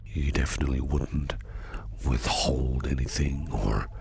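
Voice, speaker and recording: A man playing a sinister, flirtatious businessman boss in an ASMR roleplay, speaking close to the mic in a gravelly, breathy near-whisper with a teasing, menacing purr. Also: extremely low pitch, a little slow, a little mellow, full character voice. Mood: probing, sly.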